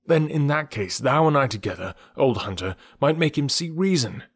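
A man voicing an anxious, fussing old male bear who wails and laments loudly in a storybook reading. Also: animated, husky, no echo, studio quality. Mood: urgent. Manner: loud, high and wailing, drawn-out cries.

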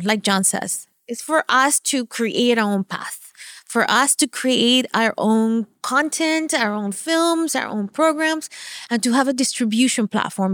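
A woman's voice: dry recording, studio quality. Upbeat Venezuelan-born woman, laughing often. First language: Spanish. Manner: energetic, laughs often, quick enthusiastic pitch. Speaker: production company CEO. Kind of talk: podcast interview.

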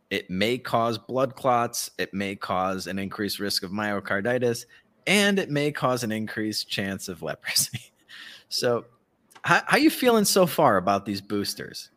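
A man's voice: mid-range voice, a bit bright. A man co-hosting a casual live podcast, talking in a mocking, sarcastic way and often chuckling as he speaks. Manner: swears casually. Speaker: podcast co-host.

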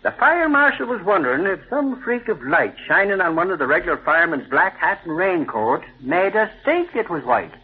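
A loud, cocky newspaper tough guy in a vintage radio play. His high voice swoops excitedly through slangy, blustery lines. The recording is thin and tinny. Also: normal speed, few pauses, slightly rough, exaggerated voice. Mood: matter-of-fact. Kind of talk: old-time radio drama acting.

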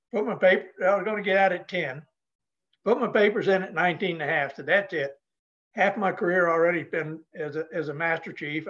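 A man's voice: fairly high pitch, fairly bright tone, dull audio. An older man from New Hampshire, a retired Navy leader, telling stories casually as an interview guest in a folksy, plain-spoken way. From New Hampshire, United States. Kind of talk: casual storytelling.

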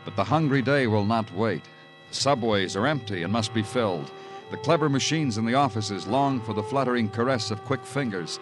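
An American man playing a world-weary police detective, narrating a vintage radio crime drama in a poetic, deliberate, hard-boiled way. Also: fairly low pitch, animated, lightly acted. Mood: brooding, lyrical. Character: brooding, world-weary male New York police detective. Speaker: narrator and lead actor. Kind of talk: 1940s-50s radio crime drama acting. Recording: old radio recording with music behind.

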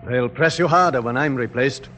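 An actor playing a courtly, haughty enemy general in a radio drama, speaking formally and with urbane condescension. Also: male voice, medium pitch, moderate pace, bright, forceful tone, close to an everyday voice. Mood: dismissive, urbane. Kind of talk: theatrical old radio drama. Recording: dull, hissy old radio broadcast.

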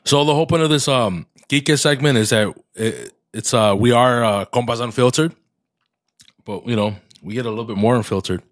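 A man's voice: mid-range voice, normal speed, no room sound, studio quality. A young man co-hosting a casual podcast, congested and coughing, slipping Spanish words into his English and swearing often. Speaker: podcast co-host.

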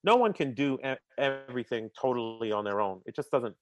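A man's voice: medium pitch, lively intonation, fairly quick, dry recording. A man talking casually and energetically as an interview guest, often repeating words as he starts sentences and using slang like "man" and "bruh". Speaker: podcast guest.

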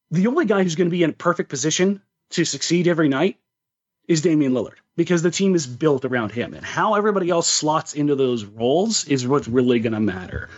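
A man's voice: on the high side, lo-fi sound. A man co-hosting a sports podcast, giving casual, opinionated basketball analysis and repeating words emphatically as he reasons.

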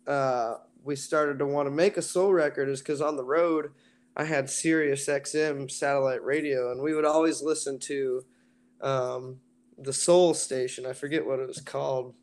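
A man who sings in a band, with a raspy voice, talking casually in an interview with lots of fillers. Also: medium pitch, normal speed. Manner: relaxed, rambling with many fillers. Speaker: band singer.

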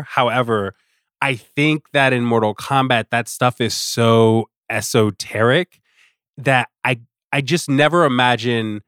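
Mid-pitched man giving emphatic opinions, dry sound. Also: fairly bright tone, no room sound. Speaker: podcast guest, staff writer. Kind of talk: conversational opinion and movie discussion.

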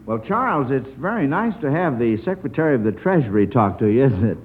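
A man's voice: mid-range voice, sing-song, brisk, slightly rough. A man hosting a live mid-century radio quiz show, genial and hesitant in his phrasing, with encouraging asides. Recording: old radio broadcast, narrow tinny sound.

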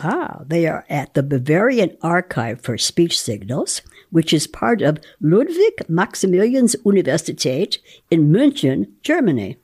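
Lively low-voiced woman, emphatic. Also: a little slow, slightly rough. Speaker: psychologist guest reading research and explaining. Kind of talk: dramatic reading and informal explanation.

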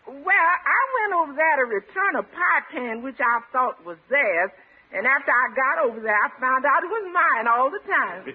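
An actor playing a nosy, playful household helper in an old radio situation comedy. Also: male voice, very high voice, big pitch swings, normal speed, slightly rough, full character voice. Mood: sly, amused. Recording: tinny old radio recording with music.

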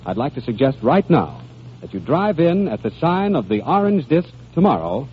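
A man delivering an advertising pitch as a 1940s radio announcer. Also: big pitch swings, normal speed. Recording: muffled, noisy old radio recording.

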